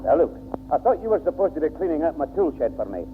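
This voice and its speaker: An actor playing a grumpy, easily exasperated old grandad with a Northern English accent in a 1960s British radio sitcom. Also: male voice, a little high, big pitch swings, fast. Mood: impatient. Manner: blustering, exasperated. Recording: muffled, noisy 1960s radio recording.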